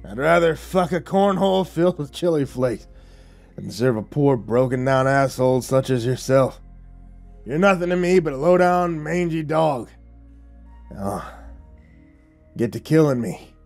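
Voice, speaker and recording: A man voicing a defiant, dying old preacher gunfighter who growls in a rough, folksy drawl. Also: medium pitch, animated, unhurried, long pauses, darkish tone, faint music behind. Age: older.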